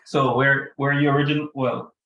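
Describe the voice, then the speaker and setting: A man's voice: medium pitch, little pitch movement, normal speed, sharp tone, dull audio. A man, a student in an online class, chatting in a rambling, easygoing way over a video call. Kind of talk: casual chat. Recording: roomy echo on a video call.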